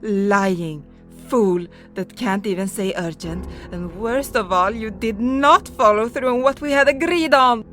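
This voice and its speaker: A woman playing a poor, anxious village mother in a fantasy audio drama, with drawn-out emotional delivery. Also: mid-range voice, fairly bright tone, close to an everyday voice. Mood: scornful, bitter. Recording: music behind the voice. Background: music.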